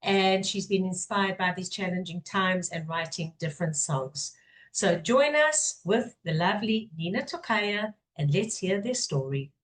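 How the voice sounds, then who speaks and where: A woman's voice: lowish voice, expressive, a little slow. Chatty woman podcast host. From Israel-based, English-speaking. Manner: chatty, enthusiastic, restarts often. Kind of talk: warm interview chat.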